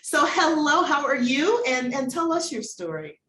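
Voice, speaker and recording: Animated woman in her fifties, echoey room. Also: sits high, moderate pace, recorded from a distance. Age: 50s. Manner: affirming, often repeats phrases for emphasis. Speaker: host interviewing book co-authors. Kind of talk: conversational interview. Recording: roomy echo with background noise.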